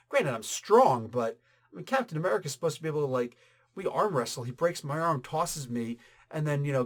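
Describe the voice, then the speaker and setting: Higher-voiced man, casual and emphatic. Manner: animated, emphatic, conversational with sudden exclamations and asides. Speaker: comic book reviewer and channel host. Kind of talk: casual opinionated review chat.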